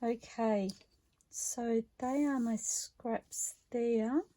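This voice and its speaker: A woman talks through her crafting at a slow, easy pace. Her voice sits a little high and sounds mellow, with a croaky edge today. She chats casually, narrating each step as she works. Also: steady tone. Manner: chatty and unhurried, talking through each step. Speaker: craft vlogger. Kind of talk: relaxed craft narration while working.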